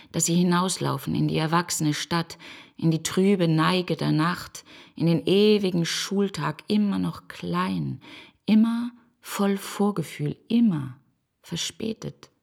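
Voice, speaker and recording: Pensive female narrator figure, low pitch, slow, contemplative, literary radio drama. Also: gravelly, a little mellow, exaggerated voice. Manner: deliberate, contemplative. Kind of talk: literary monologue in a radio play.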